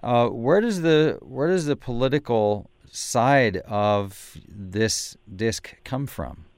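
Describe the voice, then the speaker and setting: American radio host, dry, clear and hesitant. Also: male voice, mid-range voice, slow pace, dry recording. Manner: hesitant, many fillers, self-correcting. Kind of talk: radio interview.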